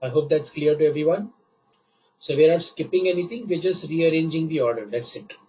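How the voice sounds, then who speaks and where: A man teaching a live online class while he has a cold, patient and repeating each step slowly. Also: on the high side, warm tone, dull audio. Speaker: online course instructor.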